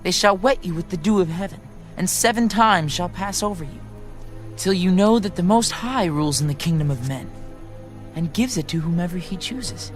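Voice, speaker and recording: A man's voice: sits high, lively intonation, normal speed. An actor playing an earnest, respectful male prophet formally addressing a king in a scripture drama. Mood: grave, prophetic. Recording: faint music bed behind.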